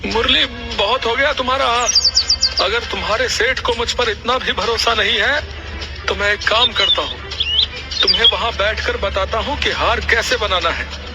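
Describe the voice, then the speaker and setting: A man voicing a resentful, scheming goldsmith in a moral story, with a drawn-out, brooding delivery. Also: high-pitched. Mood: indignant. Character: resentful, scheming goldsmith, outwardly humble husband. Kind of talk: dramatised Hindi moral story. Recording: loud music behind the voice.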